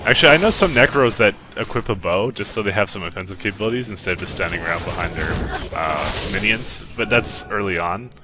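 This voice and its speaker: A man in his twenties chatting casually while playing a co-op game, relaxed and chuckling, often pausing on fillers. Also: fairly low pitch, background noise. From Canada. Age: 20s. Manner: laid-back, chuckles often, trails off with fillers. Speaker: let's play co-host. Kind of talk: casual gaming chat. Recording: game music behind voice chat. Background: game music and battle sounds.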